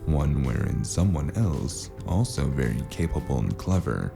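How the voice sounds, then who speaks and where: A man narrating a noir audio drama as the lead, dry and wry, with a deadpan manner, over a music score. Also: very deep voice, unhurried, creaky. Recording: music score playing underneath.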